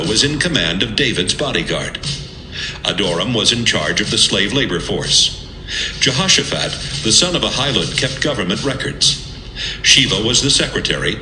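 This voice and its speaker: Deep, animated male Bible narrator. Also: noisy background. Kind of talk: dramatic scripted Bible narration. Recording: echoey, music bed behind.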